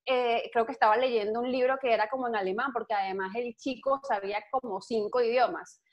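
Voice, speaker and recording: A young woman from Venezuela with a Venezuelan accent, a guest telling stories in an outgoing, animated way and laughing easily. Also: fairly high pitch, a little fast. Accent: Venezuelan Spanish. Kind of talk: casual interview chat. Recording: dull lo-fi sound.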